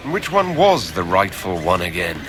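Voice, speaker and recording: An actor playing a blunt, determined hero in a fantasy video game, with a direct, dry manner. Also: male voice, low voice, big pitch swings, moderate pace, a bit bright, slight background noise. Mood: blunt, firm.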